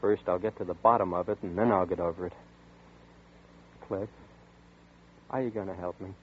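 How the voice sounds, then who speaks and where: A man's voice: low voice, fairly even intonation, normal speed, breathy edge. Troubled young man. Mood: pleading. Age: 20s. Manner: tense, troubled, insistent narration. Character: anxious young man haunted by a dream. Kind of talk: 1940s radio drama acting. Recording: tinny old radio broadcast with faint hiss.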